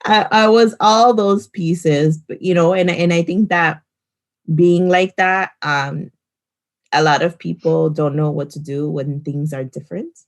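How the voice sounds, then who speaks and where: A woman with a notably low voice speaks on a casual podcast. She tells stories in a relaxed, easygoing way and laughs between points. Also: measured pace. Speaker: podcast co-host. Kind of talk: casual conversation.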